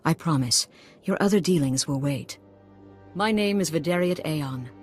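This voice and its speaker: An actress playing an old village priestess-healer in a video game, formal, grave and humbly commanding. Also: female voice, low-pitched, normal speed, soft background music, lightly acted. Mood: solemn request. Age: older.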